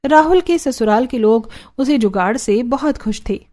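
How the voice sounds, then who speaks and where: A woman's voice: fairly high pitch, expressive, normal speed, warm tone, soft background music. A woman reading scripted storybook narration for a cartoon.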